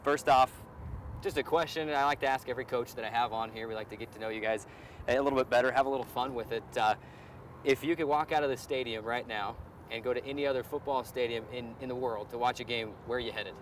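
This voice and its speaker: A man's voice: medium pitch, fairly even intonation, fast talker, slight background noise. An American man hosting a sports show and interviewing a guest, speaking outdoors beside a football field.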